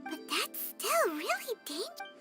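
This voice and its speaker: A tiny floating game sidekick with an extremely high, squeaky child's voice. The naive, excitable little fairy bounces around in pitch over loud music. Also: female voice, slow pace, exaggerated voice. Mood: worried. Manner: refers to self by name, exclaims and questions. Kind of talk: video game character voice acting.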